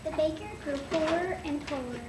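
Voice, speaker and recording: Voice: high voice, normal speed. A child of about eight or nine reading a story aloud as a narrator in a school readers' theater. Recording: echoey noisy room.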